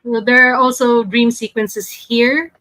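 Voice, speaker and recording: A woman's voice: a little high, fairly even intonation, unhurried, pushed and bright, no room sound. A woman casually presenting as a podcast panelist, a little hesitant as she searches for her words. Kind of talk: casual presentation in a group chat.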